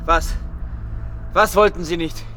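An actor playing an insistent military investigator in a radio drama, asking formal, probing questions with urgency. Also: male voice, high voice, fairly even intonation, slow, background noise. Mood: pressing. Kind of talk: naturalistic German radio drama acting.

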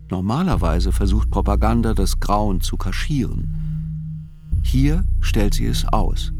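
A sober male chronicler narrating a radio drama. His voice is low and unhurried, grave and measured. Music plays clearly behind him. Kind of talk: scripted radio play narration.

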